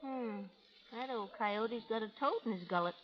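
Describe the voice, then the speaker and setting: A woman's voice: a little high, normal speed, noisy background, natural voice. An American actress playing a brash, tough, fearless frontier cowgirl with a folksy drawl, in 1930s radio drama style. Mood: gruff, teasing. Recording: muffled old radio recording.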